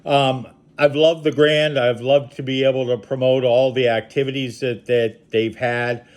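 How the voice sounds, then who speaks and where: Regular guy talking casually into the camera, middle pitch, lots of uhs. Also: measured pace, smooth. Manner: relaxed, with frequent "uh" fillers and small restarts. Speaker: media company representative giving a testimonial. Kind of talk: casual spoken testimonial.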